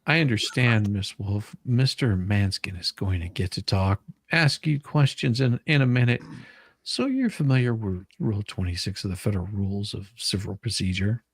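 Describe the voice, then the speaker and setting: A man reading the part of a methodical lawyer questioning a witness in a dramatized deposition, formal and a little halting. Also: on the low side, expressive, husky, no room sound.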